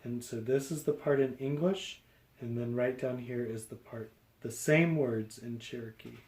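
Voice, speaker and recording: A man reading a picture book aloud to young children, explaining deliberately phrase by phrase. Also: mid-range voice, darkish tone, reverberant.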